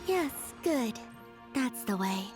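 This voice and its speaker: Serene, playful young goddess, video game. High, airy, formal and unhurried. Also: female voice, very animated, over music. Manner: unhurried, dreamy, formal phrasing. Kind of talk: scripted video game dialogue.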